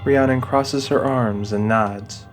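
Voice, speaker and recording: Even-toned man narrating an audio drama. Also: mid-range voice, slow pace, soft background music.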